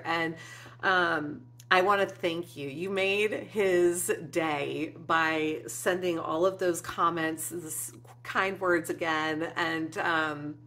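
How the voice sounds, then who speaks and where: Woman, 40s, craft vlog host, sincere and chatty, bright low-ish voice, at-home webcam. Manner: warm and emotional, frequent ums, gestures with hands. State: teary at moments. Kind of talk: heartfelt casual vlog to viewers. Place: indoor craft room with shelves and flowers.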